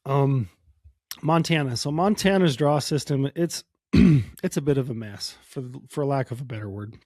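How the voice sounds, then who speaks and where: Man, hoarse, solo podcast talk, relaxed rambling explainer, medium pitch, dry sound. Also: moderate pace, no room sound. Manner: casual, explanatory, many ums and you-knows. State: hoarse from a cold. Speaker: podcast host.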